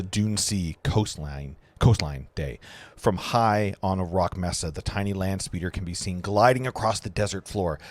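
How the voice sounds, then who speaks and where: Guy w lowish voice reading stage directions, trips on words a bit, faint music behind. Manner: stumbles over words. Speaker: narrator.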